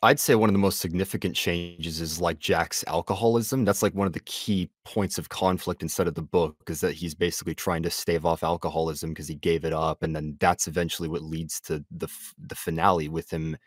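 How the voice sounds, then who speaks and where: A man's voice: low voice, fairly even intonation, brisk, few pauses, no echo, clean studio sound. A man in a casual analytical discussion, explaining comparisons in loose conversational phrases. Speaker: discussion panelist.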